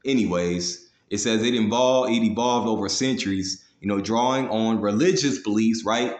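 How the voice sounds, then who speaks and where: A man's voice: fairly low pitch, moderate pace. Confrontational male preacher in an echoey room. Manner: confrontational, profane; slangy, with frequent "man" and "you know" tags. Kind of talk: fiery street-style sermon with scripture read aloud.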